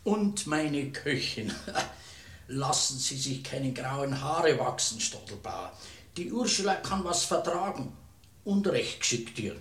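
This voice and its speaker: Genial, sly village priest, echoey. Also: male voice, medium pitch, slow pace, recorded from a distance, exaggerated voice. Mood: amused. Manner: calm, soothing, confiding. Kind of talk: comic radio drama acting. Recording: echoey room, off the mic.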